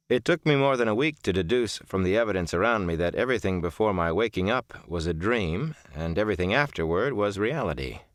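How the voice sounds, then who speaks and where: Low-voiced man narrating an audiobook. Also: dry recording, studio quality. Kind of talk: scripted first-person literary audiobook narration.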